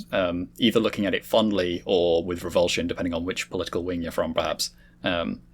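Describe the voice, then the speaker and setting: A man discussing politics casually, with hesitant, reflective phrasing. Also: low-pitched. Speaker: panel regular.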